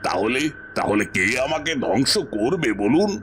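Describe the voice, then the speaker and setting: A man's voice: medium pitch, sing-song, normal speed, close to an everyday voice. A man playing a wicked, gloating royal minister in a cartoon, mocking and menacing in an emphatic way. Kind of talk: Bengali cartoon voice acting. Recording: music behind the voice. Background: background music.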